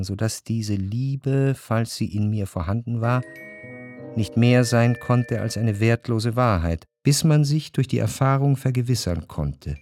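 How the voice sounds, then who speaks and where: A reflective, jealous lover narrates his inner life, played by a male actor. His mid-range voice phrases the literary text deliberately, with music underneath. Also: medium pitch, a little mellow, lightly acted. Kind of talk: scripted literary narration in radio drama.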